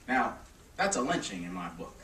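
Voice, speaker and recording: A man speaking forcefully as a panelist at a press conference, urging his listeners. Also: on the low side, big pitch swings, normal speed. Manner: forceful, exhorting. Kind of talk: public statement. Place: press club conference room. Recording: echoey room, distant mic, faint noise.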